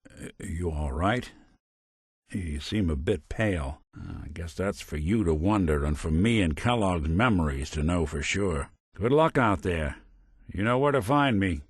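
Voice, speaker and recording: A synthetic American private eye from a video game, weary and wry but decent underneath. His low voice moves expressively through streetwise, hard-boiled noir lines, recorded close and dry. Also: no room sound. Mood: concerned. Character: weary, wry old-school detective robot with a kind streak. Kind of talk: video game voice acting, noir detective dialogue.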